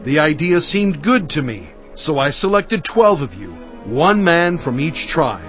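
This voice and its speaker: A man's voice: fairly high pitch, big pitch swings, unhurried. An actor playing Moses as a fatherly, earnest leader addressing his people with oratorical weight in dramatized scripture narration. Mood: reproachful. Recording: dull sound with music underneath. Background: music.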